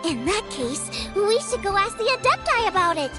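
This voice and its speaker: A woman's voice: very high-pitched, big pitch swings, moderate pace, loud music behind the voice. A woman voicing a perky, eager, friendly teenage girl apprentice in a video game, with a chirpy manner.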